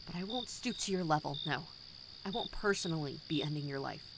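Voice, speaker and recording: A woman playing a bitter, vengeful rebel commander in an audio drama, speaking with formal, deliberate menace. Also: low voice, expressive, background noise. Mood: cold, threatening.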